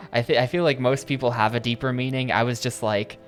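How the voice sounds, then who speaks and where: A man's voice: mid-range voice, steady tone, normal speed, faint music behind. A man streaming a video game, chatting casually and rambling between reading game text aloud. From United States. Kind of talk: casual gameplay commentary.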